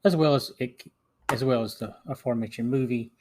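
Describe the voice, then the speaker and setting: A man's voice: mid-range voice, a little fast, darkish tone, a little hiss or hum. A middle-aged or older man hosting a podcast, reading a scripted film review with the occasional stumble. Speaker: podcast host.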